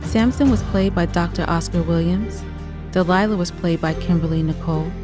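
Female announcer, lowish voice, scripted credits read, clear music bed. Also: moderate pace.

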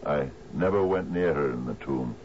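An actor playing a doddering, sentimental old island sea captain who stammers and repeats himself, in a 1970s radio drama. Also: male voice, very deep voice, normal speed. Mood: rambling, earnest. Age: older. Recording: dull, noisy old radio recording.

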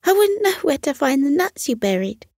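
A woman's voice: high voice, big pitch swings, normal speed, darkish tone, no room sound, studio quality, voice pushed up. A woman voicing a small, modest, kindly male squirrel with an earnest, bashful manner in a bedtime story. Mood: a little sad. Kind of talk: children's bedtime story character voice.

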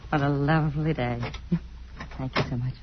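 An actress playing a frank, romantic American concert pianist in a 1950s radio drama. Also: female voice, low-pitched, big pitch swings, takes it slowly, background noise, full character voice. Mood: tender. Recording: vintage radio broadcast, muffled, music behind.

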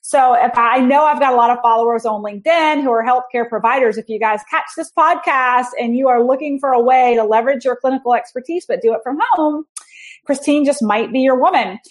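Woman podcast host, kinda high voice, excited and chatty, lots of restarts. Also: fairly quick. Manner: chatty, enthusiastic, restarts phrases. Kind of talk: casual podcast introduction.

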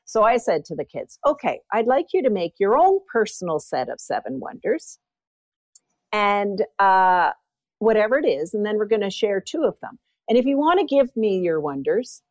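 A woman, a former high school teacher, telling stories as a podcast guest, with a big voice that jumps up in pitch as she gets animated. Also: no echo. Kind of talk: conversational interview storytelling.

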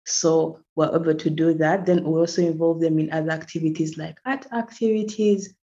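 A woman's voice: low-pitched, steady tone, moderate pace, warm tone. Ugandan woman on a dull remote line. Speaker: guest, climate activist. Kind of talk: casual interview chat.

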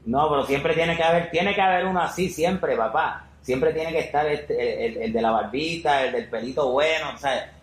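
A man's voice: a little high, normal speed. Echoey male podcast host, chatting casually. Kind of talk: casual conversational interviewing. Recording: roomy echo with faint background noise.

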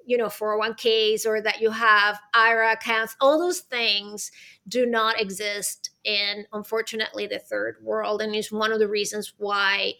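A woman from Venezuela, a guest on a podcast, talking in a lively, chatty way with many fillers like "right?". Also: medium pitch, a little slow, vocal fry. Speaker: guest, arts consultant and author. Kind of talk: podcast interview.

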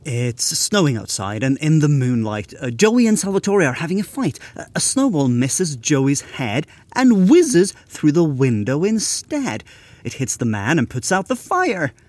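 A man's voice: a little high, very animated, measured pace, a little mellow, no room sound. A man narrating a scripted comic tale in rhyme in a dramatic storytelling manner. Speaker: co-narrator and writer.

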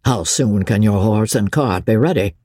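A man voicing a suave, calculating male official in an audiobook, quietly commanding and impatient, with menace underneath. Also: lowish voice, moderate pace, no echo, clean studio sound, natural voice. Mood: curt. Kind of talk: audiobook character voice.

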